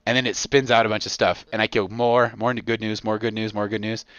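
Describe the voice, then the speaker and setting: Excitable American man riffing, dry dull audio. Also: lowish voice, normal speed, smooth, no room sound. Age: 40s. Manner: excitable, profane, slangy riffing with exaggeration. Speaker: podcast co-host. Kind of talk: casual comedic podcast chat.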